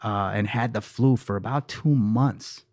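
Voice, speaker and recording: A young man hosting a casual podcast chat in a studio, animated and quick to repeat words as he starts his sentences. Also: on the low side, dry recording. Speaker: podcast host.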